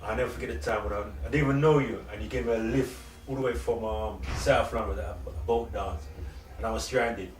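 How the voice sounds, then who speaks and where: A man's voice: fairly low pitch, steady tone, a little fast, few pauses. A man hosting an informal interview, effusive and encouraging, often chiming in with agreement. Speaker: interviewer, host. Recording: echoey room, distant mic, clear background noise.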